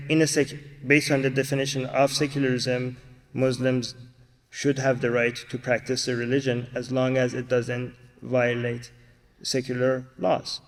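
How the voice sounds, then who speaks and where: A man on a university panel makes his point firmly, his voice gravelly with fry. He holds a flat, even pitch. A long hall reverb follows his words. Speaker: panel speaker. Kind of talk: panel discussion answer.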